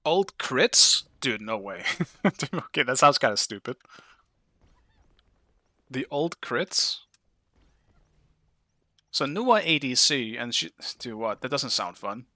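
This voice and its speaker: A man from Denmark with a Danish accent, chatting casually with his live-stream viewers while playing a game, curious and quick to swear. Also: mid-range voice, big pitch swings, sharp tone, no echo.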